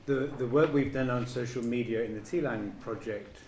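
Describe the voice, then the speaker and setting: A man's voice: mid-range voice, moderate pace, a little mellow. A man at an academic conference, repeating words as he starts his point. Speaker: conference participant. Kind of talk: academic discussion. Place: conference room. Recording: echoey hall with background noise.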